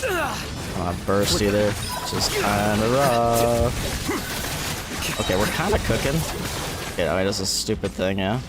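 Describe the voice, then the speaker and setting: Male game streamer, casual and foul-mouthed, animated delivery, game music behind him. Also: on the low side, takes it slowly, pauses often. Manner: sweary, informal gamer slang. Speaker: livestreamer. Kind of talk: casual live gameplay commentary. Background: video game music.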